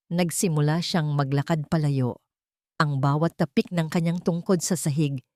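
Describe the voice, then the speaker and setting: Low-pitched woman narrating a romance story. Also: darkish tone, dry recording, clean studio sound. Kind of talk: scripted dramatic romance narration.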